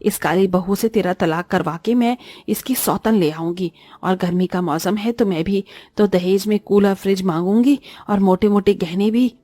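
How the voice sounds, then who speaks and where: A woman's voice: medium pitch, normal speed, hardly any pauses, warm tone, no echo, close to an everyday voice. Woman acting a spiteful, nagging mother-in-law. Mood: scheming, greedy. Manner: taunting, melodramatic, nagging. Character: spiteful, greedy, scolding Indian mother-in-law. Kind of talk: Hindi cartoon story voice acting.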